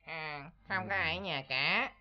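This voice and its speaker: A woman voicing an imaginative, excitable little pencil boy in a children's cartoon, with a childlike, fanciful manner. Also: low voice, slow pace, dull audio. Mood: eager.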